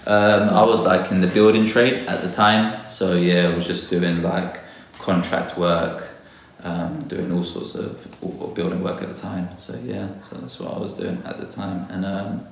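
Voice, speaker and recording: A man's voice: sits low, flat delivery, unhurried, few pauses, heavy reverb, slight background noise. A man from London, a guest botanist, talking reflectively in a casual interview, hesitant with many fillers. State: a little nervous.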